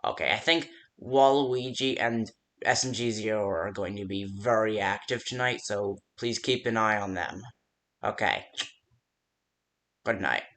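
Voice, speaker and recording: A man's voice: lowish voice, fairly bright tone. A man playing a nervous, hesitant coworker who leaves stammered security tips by phone in an amateur horror game. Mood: instructive, casual. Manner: hesitant, halting, stammering delivery. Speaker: voice actor in fan-made game.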